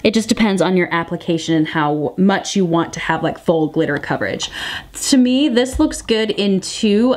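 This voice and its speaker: A woman reviewer giving a casual product review and tutorial in a chatty, gushing way, repeating words for emphasis. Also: lowish voice. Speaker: nail polish reviewer and vlogger.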